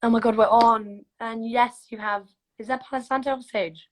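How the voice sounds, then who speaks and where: A woman's voice: fairly high pitch, fairly even intonation, normal speed, slight background noise, poor audio. A young woman hosting a casual livestream, making an earnest, rambling appeal to her viewers through a phone. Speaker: livestream host.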